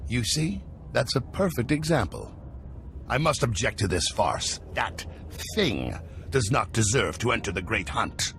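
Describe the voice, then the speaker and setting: A man's voice: mid-range voice, big pitch swings, measured pace, a bit bright, a little hiss or hum. An actor playing a proud, indignant male rival hunter who speaks in a pompous, outraged way.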